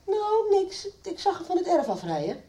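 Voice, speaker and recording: A woman's voice: very high-pitched, wildly swooping pitch, moderate pace, background noise, full character voice. A woman acting an uneasy, hesitant middle-aged farm wife in a radio soap. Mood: hesitant, evasive.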